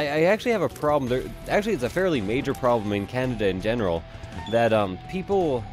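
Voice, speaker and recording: A congested young man giving casual, rambling let's-play commentary and cursing now and then. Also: medium pitch. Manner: rambling, chatty, swears casually. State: stuffy, congested. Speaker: gameplay commentator.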